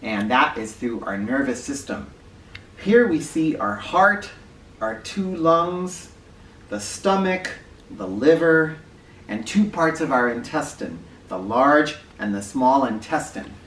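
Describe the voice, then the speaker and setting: A man hosting an educational read-aloud for children, speaking directly to them with clear emphasis and questions. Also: medium pitch, animated, slow, fairly bright tone. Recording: roomy, distant mic with faint noise.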